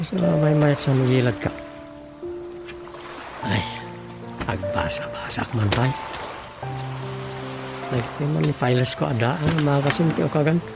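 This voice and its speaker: An actor plays a musing Ilocano man in a radio comedy drama. He mutters to himself slowly in a creaky voice. Music plays behind him. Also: medium pitch, lightly acted.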